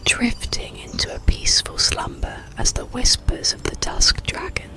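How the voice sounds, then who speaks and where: A storyteller narrating an ASMR bedtime story in a soothing, deliberate whisper. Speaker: audiobook storyteller.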